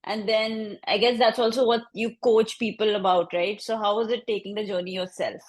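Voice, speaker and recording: This is a woman hosting a casual interview podcast. Her pitch sits in the middle for a woman. She phrases introductions and questions informally, in halting pieces. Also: steady tone.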